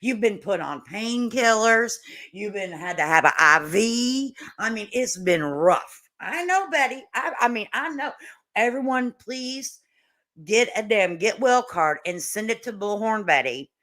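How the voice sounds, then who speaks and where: A woman's voice: mid-range voice, animated, unhurried, a bit bright. A woman doing casual reaction commentary as a channel host, with a blunt, mocking, folksy and salty way of talking.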